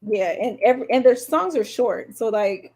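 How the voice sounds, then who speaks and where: A woman speaks as a podcast co-host in relaxed, informal conversation. Her voice sits somewhat high. She talks about music in an everyday chatting style. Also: moderate pace. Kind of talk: casual music chat.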